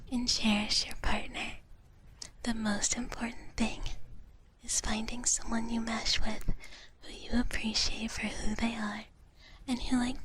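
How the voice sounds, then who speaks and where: A woman hosting an ASMR livestream in a breathy whisper, speaking soothingly and gently repeating her phrases. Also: fairly high pitch, slight background noise. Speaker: livestream host.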